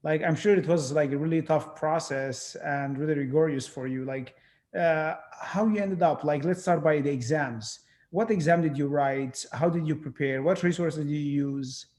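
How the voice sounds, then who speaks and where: A young man from Syria hosting an interview, asking questions in a brisk, friendly, casual way. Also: a little high, a little mellow. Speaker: interview host.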